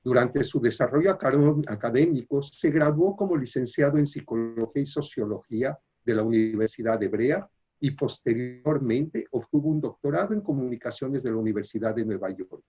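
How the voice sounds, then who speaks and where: A man reading an introduction in a formal, measured way, then moderating audience questions in a video call with some hesitant fillers. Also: mid-range voice, mellow, slightly rough, muffled recording. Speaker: webinar moderator.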